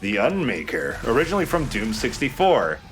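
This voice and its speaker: A man's voice: medium pitch, huge pitch swings, normal speed, a bit bright, faint music behind. A man hosting a let's play with casual, conversational commentary over a video game.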